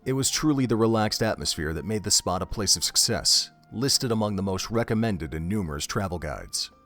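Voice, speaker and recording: A man narrating an audio drama in a steady, descriptive storytelling manner. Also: lowish voice, fairly quick, soft background music.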